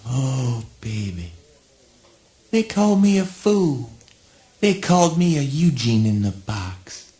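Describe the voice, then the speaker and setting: Woman acting slow, swooping, eccentric visionary. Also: a bass voice, sing-song, mellow, exaggerated voice. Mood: brooding, vengeful. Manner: dramatic declamation. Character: eccentric, grandiose visionary in absurdist play. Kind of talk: theatrical spoken word play.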